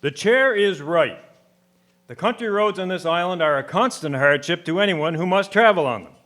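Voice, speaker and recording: A man's voice: high-pitched, animated, normal speed, a bit bright. A man acting a stubborn, plain-spoken rural horseman with a folksy, grumbling manner in a radio drama. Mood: complaining.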